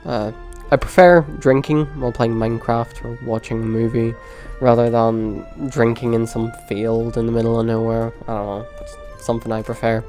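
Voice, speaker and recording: Young Northern Irish man, mellow and dry, casual storytelling, faint music bed. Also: flat delivery, normal speed, few pauses. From Poland. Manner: dry, self-deprecating, low-key delivery. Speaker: vlogger.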